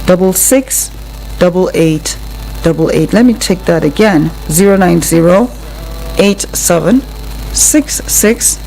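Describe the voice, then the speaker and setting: Female radio host, Nigerian accent, lowish voice, conversational storytelling, loud-ish noisy ambience. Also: a little slow, gravelly.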